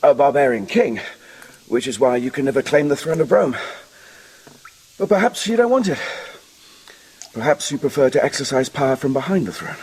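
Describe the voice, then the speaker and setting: An actor in a radio drama playing a bold, shrewd barbarian diplomat, persuasive, frank and assured. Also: male voice, mid-range voice, animated, moderate pace, a little mellow, slightly rough. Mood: bold, probing.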